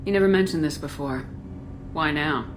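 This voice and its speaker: An actor playing a direct, concerned military commander in a video game, with a plain-spoken, probing manner. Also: male voice, on the high side, normal speed, background noise, close to an everyday voice. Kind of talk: video game dramatic dialogue.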